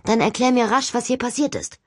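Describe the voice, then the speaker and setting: A woman's voice: a little high, fairly quick, darkish tone, husky, no echo, clean studio sound, pitched above the performer's own voice. Woman as a plucky, blunt teenage girl ghost hunter. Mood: impatient. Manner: urgent, questioning, spirited.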